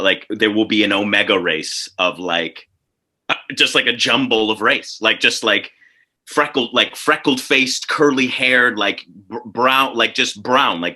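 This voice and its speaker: A man on a video call speaking casually as a panelist, thinking aloud in a halting way with many repeats. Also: lowish voice, pushed and bright. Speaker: panelist, theatre artist. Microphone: video call microphone.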